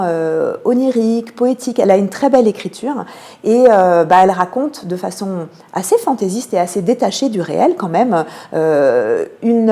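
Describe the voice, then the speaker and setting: A woman with a French accent from France giving measured, explanatory answers as a radio interview guest, pausing often to find her words. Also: medium pitch, warm tone. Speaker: theatre programme manager, interview guest.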